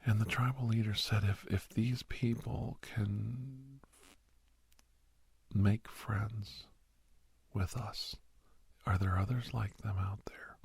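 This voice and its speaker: A man playing a kindly older doctor in an ASMR roleplay, reminiscing and reassuring in a soft, breathy, gravelly whisper-talk. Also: on the low side, fairly even intonation, no room sound, full character voice. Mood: nostalgic. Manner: slow, rambling, gentle, chuckling storyteller.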